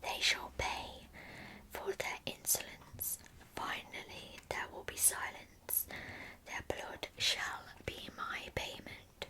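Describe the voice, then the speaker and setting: A woman whispering in a breathy, unvoiced ASMR style as she tells a true crime story, soft and deliberate, with chatty asides. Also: rough recording.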